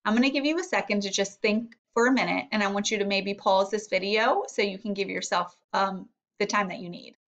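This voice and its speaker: A woman's voice: mid-range voice, a little fast, few pauses, a bit bright. A woman teacher reading a storybook aloud and guiding children with instructive questions. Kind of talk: storybook read-aloud with guided lesson.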